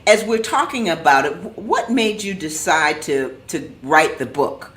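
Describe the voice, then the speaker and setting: A woman's voice: sits low, expressive, measured pace. A woman from Charleston hosting a talk show, encouraging her guest and adding affirmations as he speaks. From Charleston, South Carolina. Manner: warm, encouraging, affirming interjections. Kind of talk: interview hosting.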